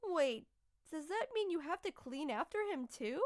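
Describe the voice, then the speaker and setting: A sweet, naively curious anime wife played by a woman. Her voice is extremely high and lilts with lively swings, in comic parody style. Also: moderate pace. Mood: innocently curious.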